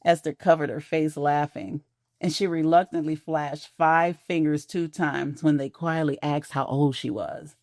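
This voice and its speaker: A woman narrating an audio drama in a measured, intimate storytelling manner. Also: sits low, fairly even intonation, darkish tone, dry recording, clean studio sound.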